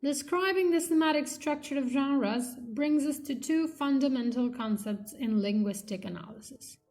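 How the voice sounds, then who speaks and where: A woman reading an academic textbook aloud in a measured, slightly halting way. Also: a little high, a little mellow. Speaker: reader of a linguistics textbook. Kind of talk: read-aloud textbook narration.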